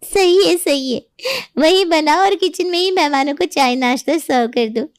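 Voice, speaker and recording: A woman's voice: high voice, moderate pace, no room sound, clean studio sound, natural voice. A woman voicing a nosy middle-aged neighbourhood auntie who taunts and mocks in a moral story. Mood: mocking.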